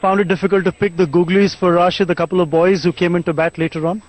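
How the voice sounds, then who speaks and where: A high-pitched man rattles through a post-match presentation at a brisk clip, smooth and formal like a seasoned broadcaster. He is outdoors at a cricket ground, talking into a handheld mic. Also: level tone, no echo, low-quality recording.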